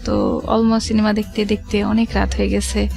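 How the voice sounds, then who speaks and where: A woman's voice: medium pitch, level tone, normal speed, gravelly, a little mellow. A middle-aged woman telling her life story as a studio guest, conversationally, repeating and rephrasing as she goes. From Rajshahi, Bangladesh. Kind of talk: personal life-story narration. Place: radio studio. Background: music.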